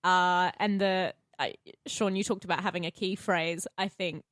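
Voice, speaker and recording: A woman's voice: fairly low pitch, fairly even intonation, moderate pace, fairly bright tone, no room sound, studio quality. An Australian woman talking casually as a podcast co-host about playing a tabletop game. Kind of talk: casual chat.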